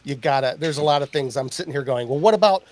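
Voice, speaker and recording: A man's voice: mid-range voice, fast talker. A man co-hosting a podcast in casual chat, rambling and searching for words with lots of fillers. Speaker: podcast co-host.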